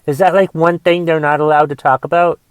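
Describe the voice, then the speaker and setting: A man in his forties with a raspy voice from a cough, casually rambling through a movie review on his podcast and thinking aloud with lots of fillers. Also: on the high side, steady tone, a little fast, no room sound. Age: 40s. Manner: thinks aloud, musing questions, trailing fillers. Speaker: podcast host.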